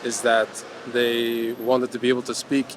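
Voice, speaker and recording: A man from Belgium telling a relaxed story about his family in a casual street chat. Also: medium pitch, moderate pace. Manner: relaxed storyteller, laughs easily. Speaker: interviewee. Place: outdoors on a city street. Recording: street with background noise.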